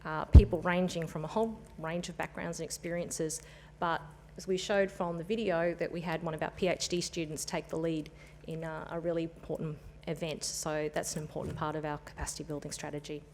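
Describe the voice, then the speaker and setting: A woman with a lowish voice presents at a forum in a conference room. She works steadily through her points in a businesslike way, with the odd light aside to the audience. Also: fairly even intonation, a little fast, warm tone, a little hiss or hum.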